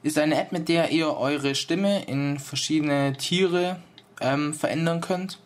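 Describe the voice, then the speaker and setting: A man's voice: a little high, takes it slowly. Man casually demonstrating an app. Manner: informal, explanatory, step by step. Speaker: app reviewer, presenter.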